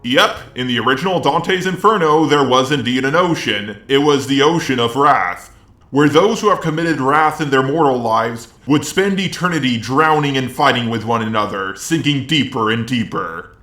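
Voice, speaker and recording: A man's voice: mid-range voice, animated, normal speed, fairly bright tone. A man giving informal review commentary with wry, joking asides. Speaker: video reviewer. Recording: roomy, echoey sound.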